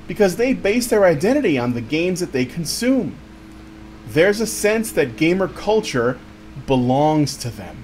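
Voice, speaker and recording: Animated man in theatrical read-aloud. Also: on the high side, a little hiss or hum. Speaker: show host reading an article aloud. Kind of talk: dramatic storytime read-aloud of an article.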